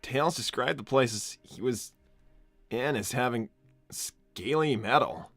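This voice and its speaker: Kindly, impatient hedgehog hero for game role-play, male performer: bright, stammering delivery, dry sound over music. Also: mid-range voice, slow pace, no room sound. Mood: resigned. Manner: stammering, rambling, casual exasperation. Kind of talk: improvised in-character livestream role-play.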